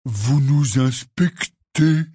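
A man voicing a big, hearty, stubborn but good-natured gamekeeper in a storybook, with a husky, blustery drawl. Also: medium pitch, drawn-out words, mellow, no echo, studio quality, pitched above the performer's own voice. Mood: perplexed.

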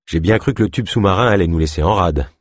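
A secretive male colleague in an audiobook, voiced by a man reading. He sounds matter-of-fact and evasive yet reassuring, in a very low, husky voice with a dry, close sound. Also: quick pace, no room sound. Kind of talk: audiobook character acting.

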